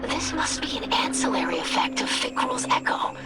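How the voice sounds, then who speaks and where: A young female alien scholar in a video game, earnest and gentle. Her voice is high and very expressive, with precise, inquisitive phrasing over loud music. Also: moderate pace, in a whisper. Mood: analytical. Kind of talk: video game character dialogue.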